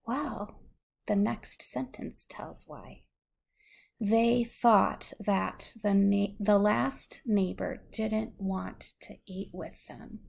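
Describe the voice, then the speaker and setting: A woman's voice: mid-range voice, extremely slow, creaky, darkish tone, breathy edge. A woman reading a story aloud to a young child, patiently explaining words in simple terms. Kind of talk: slow read-aloud storytelling with questions to a child.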